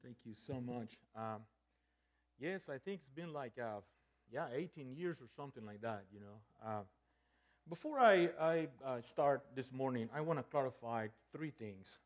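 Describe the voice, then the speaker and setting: A man's voice: mid-range voice, lively intonation, moderate pace. A man from El Salvador, a guest preacher giving a playful, conversational sermon with frequent restarts and "okay?" checks.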